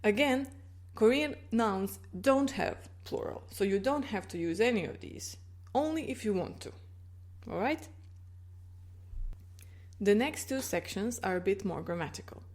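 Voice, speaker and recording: A woman's voice: medium pitch, expressive, measured pace, long pauses, a little mellow. Woman, language teacher, patient explainer, checks understanding often. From Croatia. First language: Croatian. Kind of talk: explaining grammar in a video lesson.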